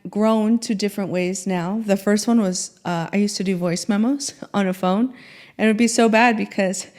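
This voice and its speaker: A woman's voice: medium pitch, steady tone, normal speed, a little mellow. A woman music mentor explaining her recording process in a chatty, step-by-step way. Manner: chatty, laughs easily, step-by-step. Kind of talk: casual teaching.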